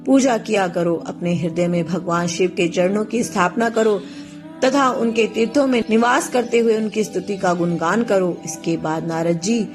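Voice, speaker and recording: Woman plays kindly serene deity, gentle formal teaching, medium pitch, faint music underneath. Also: close to an everyday voice. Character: serene male god, kindly teacher to devotee.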